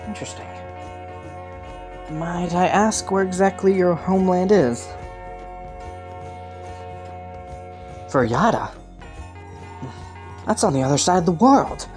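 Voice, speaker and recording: A woman playing a low-voiced, courtly young prince. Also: unhurried, long pauses, natural voice. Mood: curious, earnest. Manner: polite, hesitant, softly teasing. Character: kind, playful, rambling young prince with courtly manners. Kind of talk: intimate roleplay audio drama. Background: faint music.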